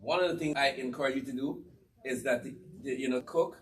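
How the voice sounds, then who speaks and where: A man from Guyana with a Guyanese accent, giving a lively cookware demonstration talk to an audience, quizzing them and repeating his points. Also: mid-range voice, fairly quick, recorded from a distance, a little hiss or hum. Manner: energetic, asks the audience questions and repeats key phrases. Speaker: cookware presenter at a church gathering.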